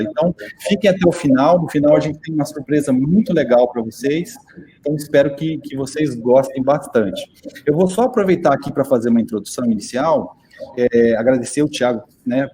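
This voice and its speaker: A man's voice: a little high, mellow, slight background noise, low-quality recording. A man hosting a virtual interview for students, speaking with hesitant repeats and many fillers. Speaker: interview host. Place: virtual studio.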